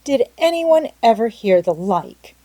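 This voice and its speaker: A woman's voice: on the high side, big pitch swings, unhurried, mellow, no room sound, pitched above the performer's own voice, exaggerated voice. A woman voicing a stern, practical older farm woman who scolds in a firm, no-nonsense manner, as in a storybook. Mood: amazed disapproval. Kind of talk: storybook character voice in audiobook.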